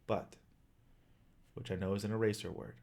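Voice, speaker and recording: A man's voice: lowish voice, fairly even intonation, normal speed, creaky, darkish tone. A man in his thirties giving an earnest, emphatic personal rallying speech as a vlogger, in plain-spoken language. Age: 30s. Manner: impassioned, emphatic, plain-spoken with occasional swearing.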